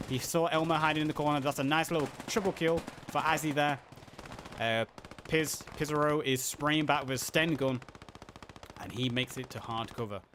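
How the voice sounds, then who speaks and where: A man casting a live game match, play-by-play with fillers, a little hoarse as he is losing his voice. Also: medium pitch, noisy background. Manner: excited play-by-play with frequent uh fillers. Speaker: esports caster. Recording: audible game gunfire and artillery behind. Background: game gunfire and artillery sounds.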